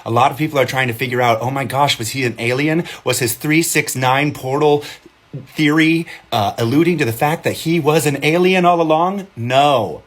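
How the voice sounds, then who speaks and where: A man's voice: mid-range voice, normal speed. Sassy, emphatic man talking to camera. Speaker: genderqueer content creator. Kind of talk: casual talk to camera.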